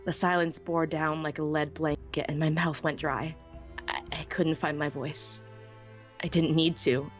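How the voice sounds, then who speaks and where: A woman playing a small, anxious young female pony who narrates her own story in an expressive, earnest way. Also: sits low, measured pace, soft background music. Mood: tense, dread. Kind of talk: dramatic first-person story narration.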